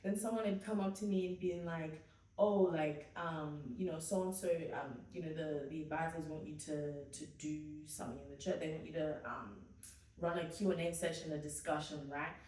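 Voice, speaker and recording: Woman, podcast co-host, chatty storytelling, slightly low voice, echoey room away from the mic. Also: fairly even intonation, moderate pace, a little mellow, far from the mic. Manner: storytelling, lots of fillers and asides. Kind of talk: casual faith chat.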